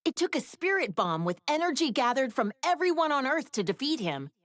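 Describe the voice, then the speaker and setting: A woman's voice: sits high, sing-song, a little fast, dry recording, studio quality. A woman playing a sassy, worldly wife in a video game, talking in a wry, blunt, conversational way. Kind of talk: animated video game character dialogue.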